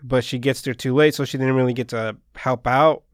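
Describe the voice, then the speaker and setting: A man whose first language is Spanish, co-hosting a casual anime podcast and recapping plots in a relaxed, rambling way. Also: medium pitch, a little fast, no echo, clean studio sound. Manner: laid-back, rambling plot recaps. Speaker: podcast co-host.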